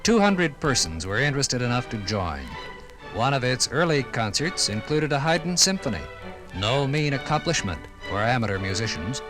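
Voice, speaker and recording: An American man reading scripted 1950s radio documentary narration in a formal, measured, authoritative manner. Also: medium pitch, big pitch swings, rough recording. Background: music.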